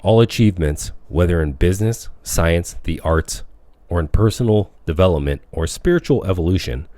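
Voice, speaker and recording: A man reading a self-help audiobook as scripted narration, with measured, declamatory phrasing. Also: deep voice, expressive, dark tone, dry recording. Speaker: audiobook reader.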